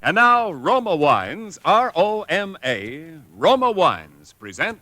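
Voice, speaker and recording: A man's voice: sits high, big pitch swings, slow pace, loud music behind the voice. A man as radio announcer, reading a sponsor announcement in an emphatic, sing-song 1940s way.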